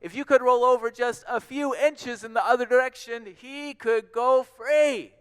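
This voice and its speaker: A man voicing a small, earnest male mouse from a storybook, calling out loudly and politely in a reverberant hall. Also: very high voice, measured pace, clean tone, voice pushed up. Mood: loud, urgent. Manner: polite, pleading, projecting loudly. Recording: long echoing hall reverb.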